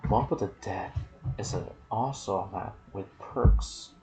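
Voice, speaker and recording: A soft-spoken man hosting an ASMR video about game lore, with a hushed delivery that slips into whispers. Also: on the low side, unhurried, a little hiss or hum, poor audio. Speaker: video host and gaming content creator.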